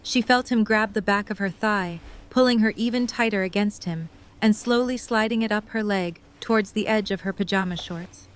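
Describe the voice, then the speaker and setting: A woman's voice: medium pitch, moderate pace, few pauses. A woman reading scripted third-person story narration as the narrator of an audio drama.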